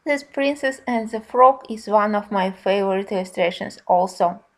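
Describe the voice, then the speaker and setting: A woman giving a casual review narration in simple, slightly halting non-native phrasing. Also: mid-range voice. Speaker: colouring book reviewer.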